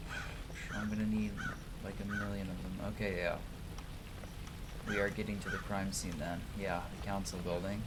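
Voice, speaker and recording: Man, lowish voice, livestream game commentary, casual asides, theatrical read-outs, noisy background. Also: flat delivery, moderate pace. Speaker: livestream gamer. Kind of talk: gaming commentary mixed with reading game text aloud.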